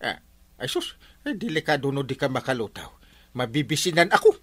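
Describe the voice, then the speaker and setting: An actor with an Ilocano accent playing a hapless, forgetful, henpecked village captain in a radio comedy drama, whining and sputtering. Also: male voice, medium pitch, big pitch swings, brisk, a bit bright. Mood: whining, sheepish.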